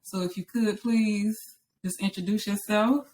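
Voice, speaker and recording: A woman's voice: mid-range voice, fairly even intonation, a little slow, darkish tone, a little hiss or hum, low-quality recording. A woman hosting a casual interview, chatty and welcoming as she talks. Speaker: interview host.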